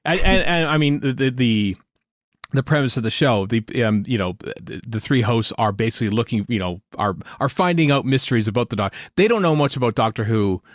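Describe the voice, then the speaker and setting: A man interviewing casually as a podcast host, in halting, rambling phrases. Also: medium pitch, lively intonation, no echo, studio quality. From Canada.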